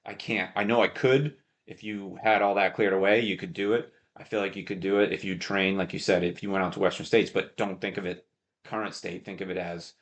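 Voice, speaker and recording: A man with a deep, low-pitched voice hosts a casual podcast conversation. He speaks in a chatty, encouraging way and explains his points much as a coach would. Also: flat delivery, a little fast. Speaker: podcast host.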